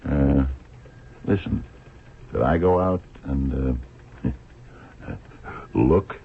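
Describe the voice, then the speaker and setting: A dogged blind sleuth in a radio play, super low gravelly voice, hesitant probing, thin phone-like sound. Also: male voice, very slow, a little hiss or hum, exaggerated voice. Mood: musing, intent. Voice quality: gravelly, husky. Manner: hesitant, probing, murmured questioning. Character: shrewd, dogged blind man investigating a murder. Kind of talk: radio drama acting.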